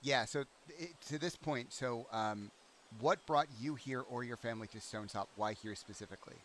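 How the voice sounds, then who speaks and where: A man's voice: mid-range voice, normal speed, a bit bright, a little hiss or hum. A man running a tabletop game session as game master, talking casually.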